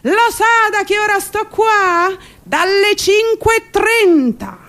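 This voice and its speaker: A woman performing an indignant, scolding elderly lady in a queue, shrill and accusatory, in a stage monologue. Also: expressive, slow pace, exaggerated voice. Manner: shrill, accusatory shouting from behind.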